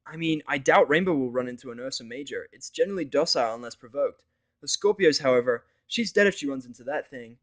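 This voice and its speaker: Casting: male reader for chilled-out, big-hearted girl pony, lifted voice, lively slangy urging, audio fiction. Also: mid-range voice, quick pace, pitched above the performer's own voice, close to an everyday voice. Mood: explaining. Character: laid-back, caring young female pony, slangy. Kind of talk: fan fiction audio story character voice.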